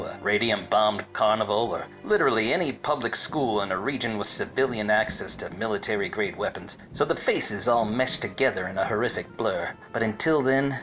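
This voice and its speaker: An actor playing a wise-cracking, obtuse hard-boiled private eye in a parody of 1940s detective radio plays, with wordy deadpan delivery. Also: male voice, on the low side, lightly acted, rough recording. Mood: exasperated. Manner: deadpan, wordy noir narration.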